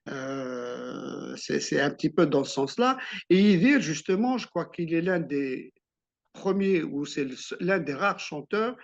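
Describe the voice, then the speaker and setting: A man from Algeria, an author answering interview questions in a reflective way, often restarting and repeating words. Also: a little high. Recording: muffled lo-fi sound.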